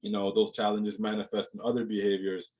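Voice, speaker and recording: A young man with a fairly low voice talks over a video call from a room at home. He is from Jamaica and speaks thoughtfully, circling back with "you know" and restarting his words as he works through an idea. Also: little pitch movement, a little fast, darkish tone. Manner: reflective, many "you know" fillers and repeated words. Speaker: guest, mental health speaker. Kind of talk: casual interview conversation.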